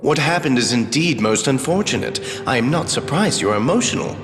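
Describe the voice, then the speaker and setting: A man's voice: medium pitch, expressive, brisk, natural voice. A man playing a scheming, haughty royal adviser in a video game, speaking in a formal, theatrical, condescending way. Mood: smoothly polite. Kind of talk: anime-style video game voice acting. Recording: echoey reverberant hall, loud music behind.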